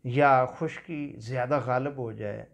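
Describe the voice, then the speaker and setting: A man in his fifties with a Pakistani Urdu accent, giving a calm, measured talk on home remedies from a small office. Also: mid-range voice, creaky. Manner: measured, unhurried explanation with religious phrases. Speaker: herbal medicine practitioner. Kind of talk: calm instructional health talk. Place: small office seated at a desk.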